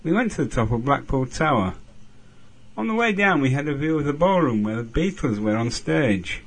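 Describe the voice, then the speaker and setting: Lively-voiced man with punning asides. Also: mid-range voice, moderate pace. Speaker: listener sending an audio message. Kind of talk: recorded voice message, jokey trivia. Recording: noisy home recording.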